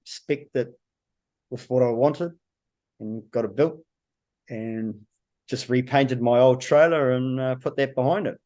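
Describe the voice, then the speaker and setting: A man's voice: mid-range voice, a little slow, long pauses, mellow, muffled recording. A middle-aged man with a New Zealand accent, a guest giving short, laid-back answers with dry humour in a casual interview. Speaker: guest log truck driver.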